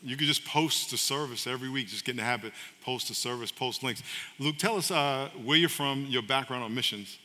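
A man's voice: mid-range voice, brisk, bright, forceful tone. A man pastoring and hosting, energetically rallying the congregation and introducing his guests onstage. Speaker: pastor and host. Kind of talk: hosting an onstage church interview. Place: large church auditorium. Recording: reverberant church hall.

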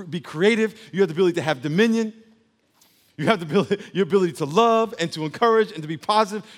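A male pastor preaching a sermon emphatically, calling on the congregation to repeat words back to him. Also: high voice. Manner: emphatic preaching with call-and-response. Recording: long hall reverb.

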